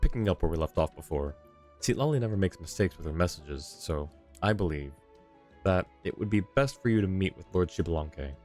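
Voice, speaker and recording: A man's voice: sits low, lively intonation, brisk, darkish tone, soft background music. A man livestreaming a video game, reading along and adding casual, dry, joking asides into a close mic.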